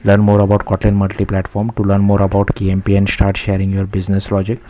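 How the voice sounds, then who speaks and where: A man's voice: lowish voice, completely flat delivery, fast talker, mellow, muffled recording, a little hiss or hum. A man reading tech news aloud in the manner of a channel host narrating a written article.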